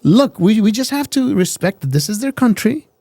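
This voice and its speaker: A man's voice: high voice, very animated, normal speed, warm tone, dry recording. A man co-hosting a talk show, arguing his opinions with emphasis and often repeating words as he builds a point. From America. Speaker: podcast co-host giving opinions. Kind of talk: casual debate chat.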